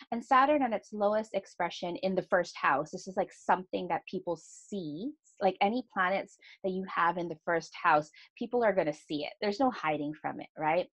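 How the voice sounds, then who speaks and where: A woman in her thirties hosting a solo podcast, speaking earnestly and confessionally, often checking in with "right?". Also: mid-range voice. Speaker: podcast host and astrologer.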